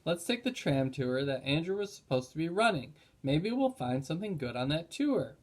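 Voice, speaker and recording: Man as storybook little girl: inquisitive, eager, raised pitch. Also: very animated, normal speed. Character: curious, eager young girl.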